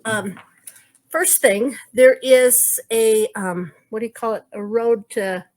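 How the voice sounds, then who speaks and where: A woman's voice: fairly high pitch, slow, darkish tone, rough recording. A woman co-hosting a casual quilt shop video, chatting and rambling as she hunts for words while showing her craft projects. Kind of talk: casual show-and-tell chat.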